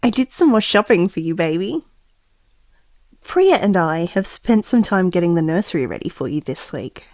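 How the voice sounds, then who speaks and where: A woman's voice: on the low side, sing-song, moderate pace, a little mellow, dry recording. A woman acting a doting expectant mother who muses affectionately and wryly, as if taping an audio diary for her baby. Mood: fond, chatty. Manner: affectionate, musing, wryly self-aware. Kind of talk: intimate audio diary monologue in a drama.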